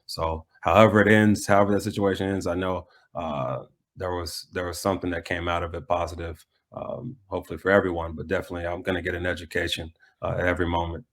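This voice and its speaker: A man's voice: low voice, steady tone, fast, fairly bright tone. A middle-aged man, a coach and former player, answering interview questions casually and reflectively, often repeating phrases as he goes.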